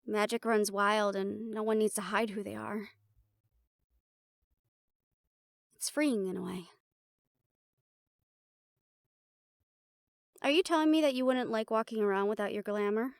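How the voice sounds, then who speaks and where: A woman's voice: mid-range voice, fairly quick, no room sound, studio quality, lightly acted. A woman playing a confident, playful siren-banshee warrior in a conversational audio roleplay, talking intimately to the listener. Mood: teasing, reassuring.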